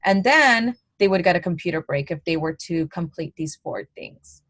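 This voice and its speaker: A woman's voice: fairly low pitch, animated, normal speed, a bit bright, no room sound. A young adult woman giving a college lecture in a conversational way, with many fillers and restarts.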